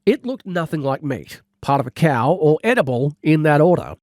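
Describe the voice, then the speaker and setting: A man's voice: fairly high pitch, big pitch swings, normal speed, a little mellow, no room sound, clean studio sound. A man reading a comic first-person audiobook as a wry, exaggerating storyteller. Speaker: audiobook narrator. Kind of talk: comedic scripted narration.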